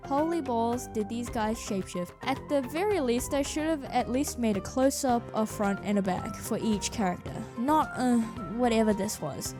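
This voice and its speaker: A woman's voice: on the high side, moderate pace, hardly any pauses, music in the background. A woman narrating a video about her animation work in a casual, jokey, self-deprecating way. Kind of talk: casual scripted video commentary.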